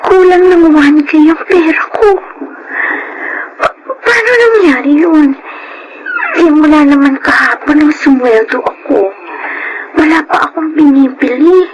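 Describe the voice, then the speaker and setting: A woman playing an anxious, soft-hearted young woman in a Filipino radio drama, speaking in an emotive, hesitant way. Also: high voice, measured pace, pauses often, background noise. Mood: worried. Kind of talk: Filipino radio drama acting, first-person narration.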